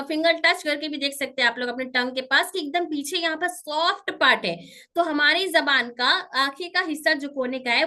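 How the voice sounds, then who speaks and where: A woman's voice: high-pitched, pushed and bright. A woman teaching a pronunciation class online, patiently repeating each term and checking that her students follow.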